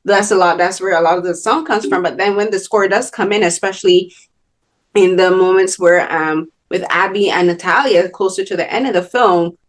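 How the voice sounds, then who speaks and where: A woman's voice: lowish voice, steady tone, moderate pace. A young woman with a Bajan accent hosting an interview, talking in long loose sentences full of restarts and "like" fillers. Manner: rambling, many restarts and "like" fillers. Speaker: host. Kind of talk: casual interview chat.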